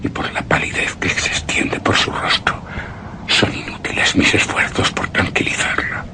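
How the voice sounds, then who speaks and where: An actor playing a haunted, anguished husband who narrates a gothic horror tale in a radio drama, with heavy dramatic pauses. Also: male voice, sharp tone, a little hiss or hum. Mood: fearful, bewildered. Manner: slow, brooding, dramatic delivery with heavy pauses.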